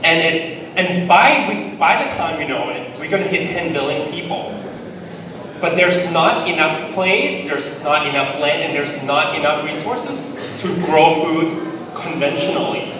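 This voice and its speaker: A man from Thailand with a Thai accent, giving a public presentation in English as a conference speaker, deliberate and emphatic with repetition. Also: on the high side. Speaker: conference speaker, business executive. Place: large indoor event hall. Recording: very echoey hall, distant, noisy ambience.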